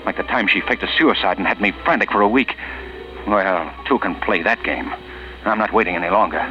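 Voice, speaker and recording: A man's voice: fairly low pitch, brisk, lightly acted. A man playing a tormented, anxious husband with a hesitant, brooding delivery in a 1940s radio drama. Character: tormented, anxious husband losing grip on reality. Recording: muffled old radio recording with music.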